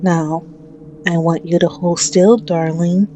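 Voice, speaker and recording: Woman acting a very slow, taunting female mafia boss. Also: fairly even intonation, warm tone, a little hiss or hum. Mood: coaxing, possessive. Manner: slow, taunting, drawn-out with frequent low chuckles. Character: sadistic female mafia boss, mocking, possessive, menacing captor. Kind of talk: ASMR roleplay acting.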